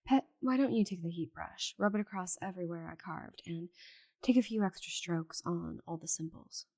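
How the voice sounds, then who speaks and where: A woman playing a proud, stubborn giant dragon in an ASMR roleplay, exasperated and condescending, with a breathy voice and muttered asides. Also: fairly low pitch, normal speed, a little mellow. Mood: exasperated, urgent. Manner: haughty, exasperated, condescending endearments, muttering to herself. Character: proud stubborn giant female dragon, flustered while shrinking.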